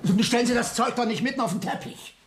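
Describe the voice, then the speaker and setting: A man's voice: very high voice, fast, a little hiss or hum. An actor in a German radio play voicing an agitated, indignant man who stammers and insistently repeats himself. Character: agitated, indignant man, fussy teetotaller who feels hunted.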